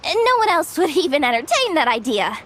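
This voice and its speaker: A woman's voice: high-pitched, sing-song, a little fast, a bit bright. A woman playing a cocky, playful young female guard in a video game, brash, teasing and theatrical. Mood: teasing, incredulous.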